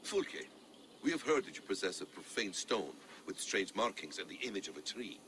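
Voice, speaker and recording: A somewhat deep male assassin, careful and formal yet lively in melody. He probes shrewdly in game drama, with clear ambient noise behind him. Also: moderate pace. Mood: probing. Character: shrewd, inquisitive hooded assassin, calm negotiator. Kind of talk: dramatic video game acting.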